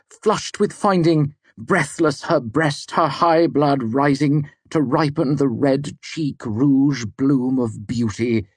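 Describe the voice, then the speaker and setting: A man's voice: fairly high pitch, no echo, voice pushed up. A man voicing an earnest, gloomy and bookish young male student in an audiobook story.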